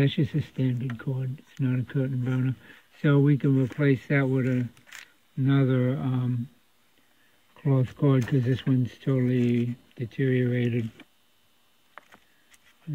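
A man giving a casual, unscripted workbench narration as a repair technician, explaining in a rambling, conversational way. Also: mid-range voice. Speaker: radio repair technician presenting a repair video. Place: indoor electronics repair workshop. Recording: thin phone-like sound.

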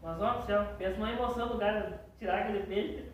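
A man's voice: sits high, steady tone, fast talker, a little hiss or hum. A young man, a shop owner telling fishing stories in a casual interview. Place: fishing tackle shop, indoors. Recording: echoey shop, far from the mic.

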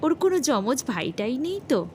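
A woman plays a senior policeman in an audio horror story, pitched up in disbelief. He is blustering and scolding, firing out emphatic questions. There is a faint noise behind the voice. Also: sing-song, moderate pace, darkish tone. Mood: scolding, incredulous. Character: blustering, incredulous male police officer in charge, balding.

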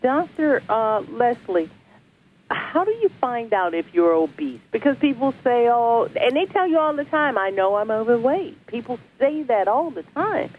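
Animated woman host on a tinny line. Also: on the high side, normal speed. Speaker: talk show host. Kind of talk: broadcast interview. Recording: phone-like lo-fi line with faint noise.

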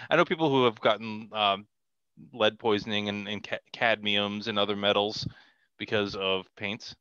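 Bright, clear-voiced middle-aged man. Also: lowish voice, steady tone, measured pace, no room sound, lo-fi sound. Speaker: podcast guest, comic artist. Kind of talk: casual conversational interview. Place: small cluttered room at home, video call. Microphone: headset on a video call.

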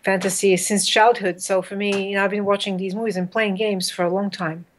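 A woman's voice: medium pitch, little pitch movement, a little fast, slight background noise. Middle-aged woman from Bulgaria, earnest guest explaining her work, video-call webcam sound, home room. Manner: earnest, enthusiastic, explains at length. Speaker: composer and orchestrator guest. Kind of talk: casual interview over video call. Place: home room with shelves and art.

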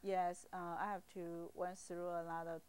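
Lower-pitched Taiwanese-accented woman, hesitant. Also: little pitch movement, measured pace, a little mellow, smooth. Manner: hesitant, simply phrased non-native English. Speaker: acupuncturist being interviewed. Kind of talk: informal explanatory interview.

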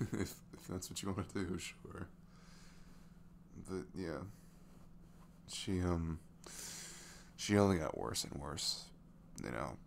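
A man playing a sweet, wounded single dad in an intimate ASMR roleplay, speaking softly and hesitantly. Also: sits low, vocal fry, slight background noise, heavily acted. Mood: tender, tired. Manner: hesitant, soft-spoken, halting.

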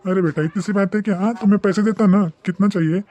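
Cartoon snack-shop boss, acted by a man: avuncular, exasperated, repetitive, high-pitched and quick. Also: steady tone, warm tone, natural voice. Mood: exasperated, generous. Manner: repetitive, avuncular, mixing Hindi and Marathi. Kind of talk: animated moral story dialogue.

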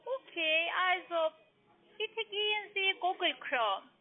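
A woman with a foreign accent, not a native speaker, working as a call centre agent, pressing and repetitive in halting sentences. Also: extremely high pitch, animated. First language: not German. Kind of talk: phone sales call. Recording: muffled narrow phone line.

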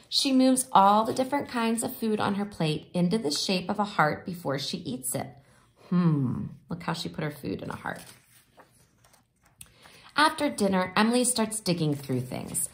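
A woman reading a children's picture book aloud expressively, sometimes pausing to explain the pictures. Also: medium pitch, very animated. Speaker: storybook reader.

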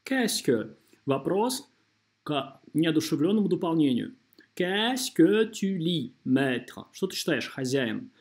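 A man's voice: on the high side, sing-song, takes it slowly. A man giving a language lesson as a teacher, explaining didactically and carefully modelling pronunciation. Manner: didactic, drawing out model phrases syllable by syllable. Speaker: French teacher. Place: voice-over on a screen recording.

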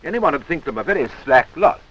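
Male narrator, British accent, high-pitched, very lively intonation, wry and chummy, 1940s instructional film style, old film soundtrack. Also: quick pace, breathy edge, low-quality recording. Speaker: narrator of an army training film.

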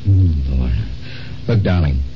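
An American actor playing a brash, restless, wisecracking patient in a 1950s radio drama. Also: male voice, extremely low pitch, slow, faint music behind. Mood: flirtatious, lonesome. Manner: wisecracking, impatient, slangy. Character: brash, restless, lonesome male patient from the past. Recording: muffled, noisy old radio recording.

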